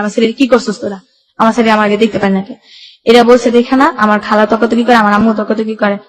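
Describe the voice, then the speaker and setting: A young woman with a Bangladeshi Bengali accent tells her life story as a guest, in colloquial run-on sentences with reported dialogue. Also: on the high side. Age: young adult. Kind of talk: personal life-story narration.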